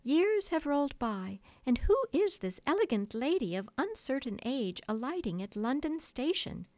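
A motherly little storybook girl, played by a woman, telling her tale with earnest grandeur and gentle scolding. Her pitch rises high and swoops theatrically, close and dry in the recording. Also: sing-song, normal speed, no room sound. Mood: grand, dramatic.

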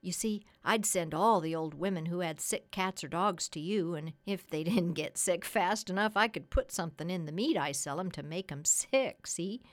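A talkative, rough-spoken storybook tradesman acted out by a woman. He is folksy and sly, with loose grammar and a persuasive, scheming way of talking. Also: fairly low pitch, normal speed, few pauses, close to an everyday voice. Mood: eager, persuasive. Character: chatty, scheming, uneducated working-class tradesman.

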